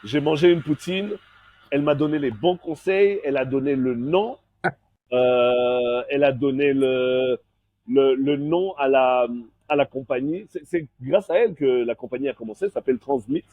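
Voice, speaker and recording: Un homme d'une quarantaine d'années, né en Belgique et élevé au Congo, invité d'une interview, qui mêle le français et l'anglais en parlant. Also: male voice, mid-range voice, moderate pace, no echo, narrow, tinny audio. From born in Belgium, raised in Congo. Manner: switches between French and English mid-sentence. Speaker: guest, master of ceremonies. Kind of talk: casual interview chat.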